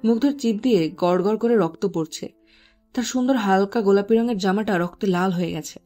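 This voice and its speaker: A woman's voice: mid-range voice, fairly quick, warm tone, faint music behind. A woman reading scripted story narration as the narrator.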